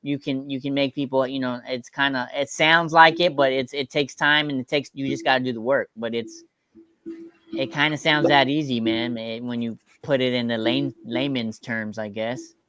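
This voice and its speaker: A man's voice: mid-range voice, steady tone, normal speed, a bit bright, clear, resonant tone, no echo. A man in casual conversation, talking in slangy phrases and repeating himself for emphasis. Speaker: company team member on a panel. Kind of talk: casual chat.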